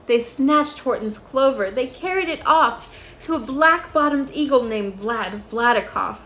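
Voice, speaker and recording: A woman reading a rhyming children's story aloud in an expressive, measured storytelling style. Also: sits high, a little hiss or hum.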